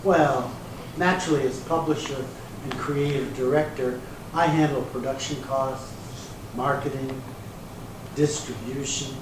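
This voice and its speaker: A man acting in a readers theater piece as a slick, smooth-talking promoter with a grandiose, placating salesman's patter. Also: medium pitch, steady tone, a little slow, close to an everyday voice. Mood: defensive, placating. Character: slick, smooth-talking male promoter pitching a glamour calendar. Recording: echoey hall from far off, hissy room noise.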